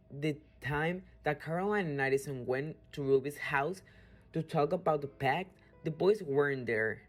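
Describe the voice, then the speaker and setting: Woman, slow pace, scripted story narration, halting with self-corrections, faint music underneath. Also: low voice, a little mellow. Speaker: narrator of a radio drama. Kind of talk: scripted narration, read aloud.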